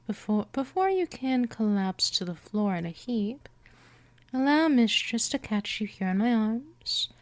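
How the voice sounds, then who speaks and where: A woman playing a gentle but dominant vampire mistress in a scripted hypnosis ASMR roleplay, soothing and teasing, often slipping into whispers. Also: a little high, lively intonation, measured pace. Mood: soothing, commanding.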